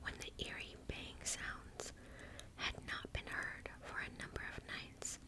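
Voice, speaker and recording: A woman in her thirties gently reading a story in an ASMR style, whispering close to the mic with careful, deliberate phrasing. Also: low-quality recording. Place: small indoor space in front of a curtain.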